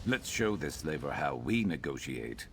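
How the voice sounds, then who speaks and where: A man playing a gruff, loyal Argonian lizard-man in a video game, speaking in a measured way with dry wit. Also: extremely low pitch, big pitch swings, noisy background, heavily acted. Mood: grim, determined. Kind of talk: video game character dialogue.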